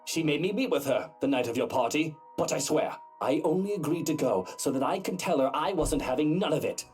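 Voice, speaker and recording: An actor playing a sardonic, hard-drinking wealthy husband in a game, with a theatrical, wry manner. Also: male voice, fairly high pitch, expressive, a little fast, darkish tone, lightly acted. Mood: evasive, defensive. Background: game music.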